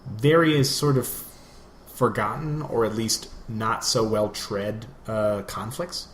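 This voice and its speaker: A man's voice: on the low side, steady tone, slow. A man chatting casually and ramblingly as a podcast co-host. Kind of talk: casual gaming chat. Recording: roomy echo, clear background noise.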